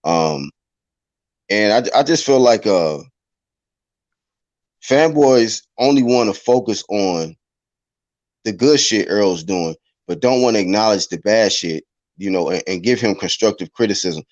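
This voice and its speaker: A man's voice: fairly low pitch, lively intonation, a little slow, pauses often, darkish tone, no room sound, lo-fi sound. A man hosting a casual online talk show, arguing bluntly and reading out viewer chat. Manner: blunt, argumentative, reads chat. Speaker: show host. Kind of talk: heated casual debate.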